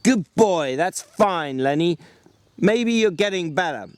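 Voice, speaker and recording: Man acting a bossy, folksy ranch hand, pitched up. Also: lively intonation, slow pace, no room sound. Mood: instructing. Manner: folksy, instructing, colloquial. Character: George, sharp bossy protective migrant ranch hand. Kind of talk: dramatic storybook reading.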